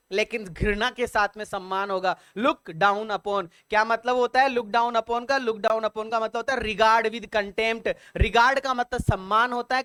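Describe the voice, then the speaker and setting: Smooth-voiced man teaching vocabulary drills. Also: very high-pitched, a bit bright, no room sound. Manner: repetitive drilling, spells words out letter by letter; addresses viewers as sir and dear children. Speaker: exam-prep English teacher. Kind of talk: online vocabulary lesson in Hindi.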